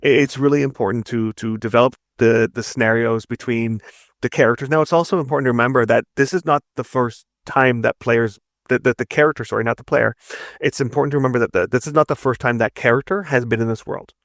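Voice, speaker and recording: Guy with gravelly voice teaching stuff in an interview, emphatic, keeps saying right?, super dry sound. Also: mid-range voice, fast, a bit bright, dry recording. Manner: emphatic, didactic, repeats words and tags points with "right?". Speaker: narrative design instructor, podcast guest. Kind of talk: conversational interview, explaining craft advice.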